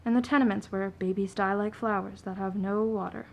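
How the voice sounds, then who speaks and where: A defiant working-class mother played by a woman in a radio play, her voice thick with creak. She is poor, proud and plainspoken, a laundress who stands her ground. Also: medium pitch, steady tone, fairly quick, a little hiss or hum, natural voice. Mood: defiant, weary. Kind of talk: stage-style radio play acting.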